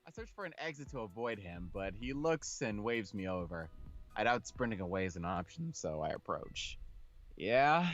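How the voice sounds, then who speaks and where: A man's voice: lowish voice, lively intonation, moderate pace, a bit bright. A man co-hosting a visual novel playthrough, his voice rising and falling freely as he narrates and reacts. He talks casually, with slang and swearing. The audio is a bit dull, with music underneath. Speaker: let's-play host reading a visual novel aloud. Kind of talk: casual co-host chat and narration of a game.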